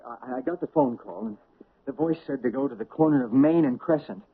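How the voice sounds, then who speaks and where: A man's voice: medium pitch, brisk, breathy edge. An actor playing a nervous, flustered man under threat, stammering and anxious. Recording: muffled old radio broadcast.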